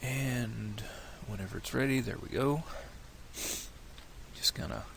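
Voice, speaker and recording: A man with a cold and a slightly nasal voice, walking through a software tutorial in a relaxed, rambling way, thinking aloud at his computer. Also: mid-range voice, slow pace, creaky, slight background noise. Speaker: tutorial creator.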